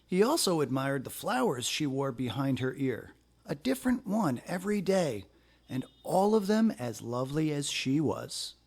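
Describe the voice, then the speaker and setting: Male storyteller, picture book read-aloud, fairly high pitch, very lively intonation, deliberate delivery.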